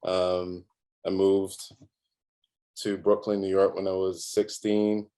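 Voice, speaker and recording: A man from Barbados, a guest artist, introducing himself and his work in a low-key, reflective way over a video call. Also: level tone, takes it slowly, mellow. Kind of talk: conversational self-introduction.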